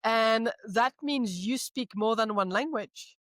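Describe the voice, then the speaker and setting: A woman's voice: a little high, slow pace, fairly bright tone, dry recording. A woman, hosting and interviewing with thoughtful questions, often saying "you know". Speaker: podcast host. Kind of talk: conversational interview.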